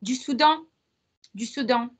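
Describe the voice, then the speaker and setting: A woman's voice: fairly high pitch, unhurried, husky. A middle-aged woman, a guest academic speaking earnestly in a conversational interview, with frequent fillers and restarts. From Lebanon.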